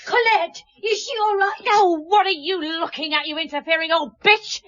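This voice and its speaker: An actress playing a scornful, bitter married woman in a radio drama, with a defiant, excitable manner. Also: very high voice, moderate pace, dry recording, dull audio. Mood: hysterical, furious. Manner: contemptuous, defiant, excitable when challenged. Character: scornful, bitter married woman confessing under police questioning.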